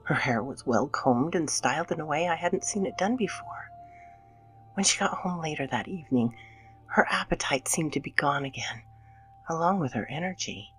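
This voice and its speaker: A woman playing an anxious, guilt-ridden mother in a dramatic scripted horror narration, theatrical and dipping into breathy near-whispers. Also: sits low, expressive, normal speed, faint music behind. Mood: confessional, uneasy.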